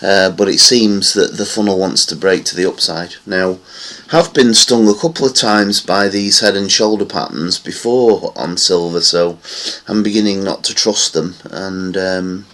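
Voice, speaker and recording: A man's voice: deep voice, measured pace, hardly any pauses, fairly bright tone. A man giving casual, rambling commentary on silver price charts, with many fillers. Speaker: market analysis vlogger.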